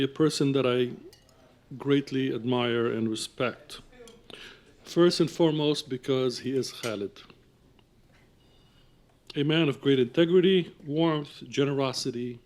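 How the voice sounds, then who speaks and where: A man's voice: mid-range voice, a little slow, pauses often. Man formally introducing a guest lecturer. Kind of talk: formal lecture introduction, partly read aloud.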